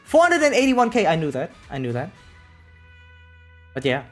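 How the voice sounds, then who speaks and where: An excitable variety streamer with a mid-range voice and very lively, swinging intonation. The talk is casual live commentary, slangy and sweary, addressed to viewers, with music faintly behind it. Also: medium pitch, normal speed.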